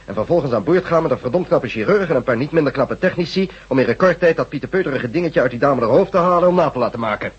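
An actor playing a domineering chief in a science fiction radio drama, giving blunt orders with a sardonic edge. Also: male voice, a little high, expressive, fast, few pauses, slightly rough. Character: gruff, domineering male chief of a group. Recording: dull lo-fi radio drama recording.

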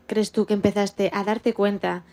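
A woman's voice: mid-range voice, flat delivery, normal speed, a little mellow. Woman co-presenting with a mid-range voice. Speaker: radio show co-presenter and interviewer. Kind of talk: scripted interview and presenting.

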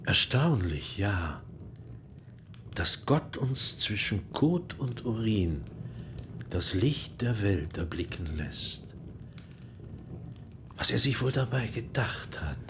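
An actor playing an urbane medieval monsignor who investigates for the church in a German radio drama, dry, measured and formal. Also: male voice, big pitch swings, fairly bright tone, exaggerated voice. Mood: musing, wry. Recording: faint low rumbling noise.

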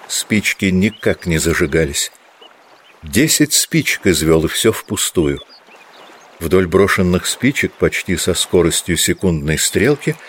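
A man reading scripted literary narration for an audio drama in a measured storytelling manner. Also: low voice, breathy edge.

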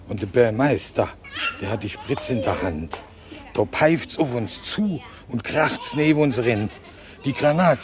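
A man playing a plain-spoken villager with a west-central German regional accent, giving a halting, colloquial eyewitness account in a radio play. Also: on the high side, natural voice. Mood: agitated retelling. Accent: regional west-central German (Hessian-Palatinate).

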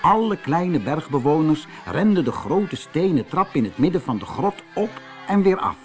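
A man narrating a fairy tale for children as an audiobook reader, in an expressive storytelling manner. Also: a little high, moderate pace, faint music behind.

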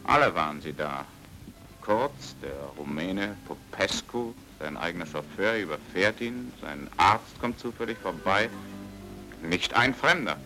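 A man's voice: low-pitched, expressive, measured pace, bright, forceful tone, heavily acted, rough recording. An actor playing a dogged, plain-spoken man investigating his friend's death in an old German radio drama, terse and probing. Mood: probing, suspicious. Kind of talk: 1950s-60s German radio drama acting. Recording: old radio play with music.

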